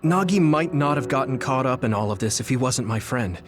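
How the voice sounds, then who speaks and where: A man's voice: medium pitch, expressive, brisk, music in the background, close to an everyday voice. An actor playing an earnest, thoughtful young male soldier hero in a video game, speaking sincerely and reflectively. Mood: reflective, resolute. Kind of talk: English video game voice acting, dramatic dialogue.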